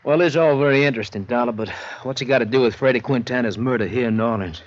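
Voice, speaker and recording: An American actor playing a seasoned, skeptical police lieutenant in a 1950s radio crime drama, laconic, folksy and questioning. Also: male voice, medium pitch, fast talker, natural voice. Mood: probing. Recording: muffled, noisy vintage radio recording.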